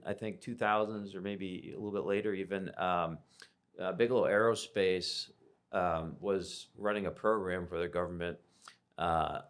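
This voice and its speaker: An American man hosting a conversational interview, speaking in measured, thoughtful phrases. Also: low voice. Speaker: podcast host, interviewer.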